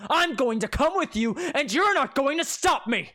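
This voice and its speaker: A man's voice: sits high, big pitch swings, moderate pace, voice pushed up. A storyteller voicing a haughty, spoiled young male prince who huffs and sneers. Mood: defensive. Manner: huffy, sneering and indignant.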